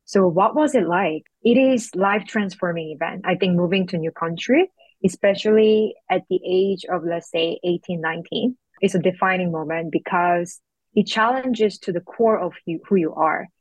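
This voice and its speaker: A woman's voice: fairly low pitch, moderate pace, no room sound, poor audio. A young woman from South Korea, a guest in an interview, speaking thoughtfully and reflectively with frequent fillers. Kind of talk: interview conversation.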